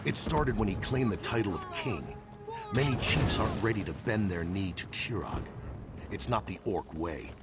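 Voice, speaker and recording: Low, proud orc warrior amid battle noise. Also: male voice, animated, moderate pace. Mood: proud, skeptical. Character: proud orc warrior at a war camp, grimly skeptical. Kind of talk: video game character dialogue. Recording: loud battlefield noise behind the voice. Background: battle ambience with artillery.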